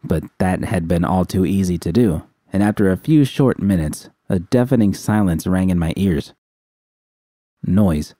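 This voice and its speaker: Male narrator for first-person fiction. Very low, soft dark tone, dry sound. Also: a little slow, no echo. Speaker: audiobook reader of fan fiction.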